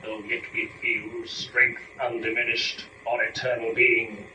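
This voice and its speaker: A man's voice: medium pitch, monotone, measured pace, lightly acted. A man playing a grave, rueful fallen angel, a doubting lieutenant in hell, declaiming epic verse formally and solemnly. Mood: troubled, doubting. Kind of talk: dramatic reading of epic verse. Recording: roomy, distant mic, faint noise.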